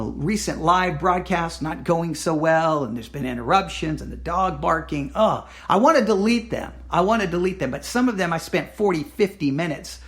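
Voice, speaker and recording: Hoarse, rambling male podcast host. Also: fairly high pitch. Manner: rambling, self-correcting, repeats himself; earnest, pleading with listeners. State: losing his voice. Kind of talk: casual solo podcast update.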